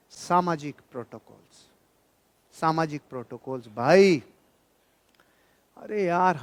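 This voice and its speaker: A man delivers a motivational talk in a fairly high voice with a very dry sound. He is emphatic and admonishing, and he repeats key phrases to drive them home. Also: no room sound. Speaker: motivational speaker. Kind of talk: motivational speech, preaching to an audience.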